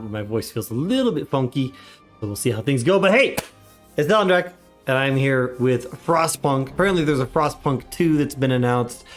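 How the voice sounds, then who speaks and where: A man, a father of young kids, chatting casually while livestreaming a game, his voice a little congested. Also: medium pitch. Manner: chatty, upbeat, greets chat often. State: slightly congested from a cold. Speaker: livestream gamer.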